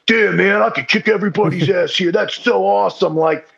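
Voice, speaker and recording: A man's voice: high voice, brisk, creaky, fairly bright tone, muffled recording. A man in casual podcast chat, rambling and self-deprecating, swearing freely and saying "dude" and "like" constantly. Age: under 40. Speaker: podcast guest.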